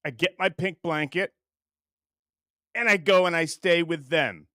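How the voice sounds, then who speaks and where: Man putting on a high, whiny diva puppet. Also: sharp tone, no room sound, studio quality. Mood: gleeful, boastful. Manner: exaggerated, drawn-out, self-important complaining. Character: whiny, entitled, high-voiced puppet comedian, indignant diva. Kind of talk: puppet show parody monologue.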